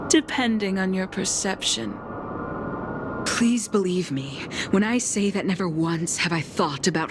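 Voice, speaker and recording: An actress playing a calm, proud woman leader of a secret sect in a video game, with formal, measured speech over music. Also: medium pitch, background noise, natural voice. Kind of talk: dramatic video game voice acting. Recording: loud background music under voice.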